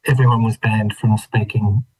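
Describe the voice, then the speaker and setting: An Australian man in his fifties from Melbourne's western suburbs, telling his own story in a reflective, thoughtful, halting way as an interview guest. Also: lowish voice, little pitch movement, a little mellow, breathy edge, poor audio. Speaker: interview guest, trained dancer. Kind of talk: reflective personal storytelling in conversation.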